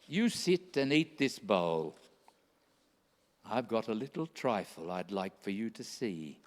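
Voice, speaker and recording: An actor playing a kindly, bustling old Santa Claus in a radio play, hearty and chatty in rhyming verse. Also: male voice, mid-range voice. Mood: eager, bustling. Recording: echoey hall with long reverb.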